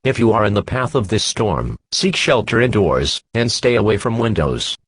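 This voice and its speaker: A man's voice: on the low side, very animated, normal speed, slightly rough, no room sound. A man as a weather service alert voice, reading a scripted warning in an impersonal, formal way. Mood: impersonal, official.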